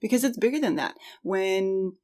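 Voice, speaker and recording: A woman's voice: medium pitch, animated, moderate pace, warm tone. A woman co-hosting a conversational podcast, speaking in an earnest, reflective way. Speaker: podcast co-host.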